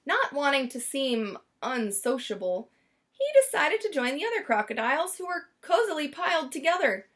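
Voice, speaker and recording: A woman reading a children's picture book aloud as storyteller, expressively and with deliberate dramatic emphasis. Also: high-pitched, a bit bright.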